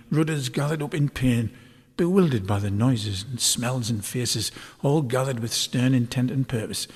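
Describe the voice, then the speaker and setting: Male narrator, husky voice, scripted story reading, measured, dramatic, long reverberant tail. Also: on the low side. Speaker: author reading a novel chapter aloud.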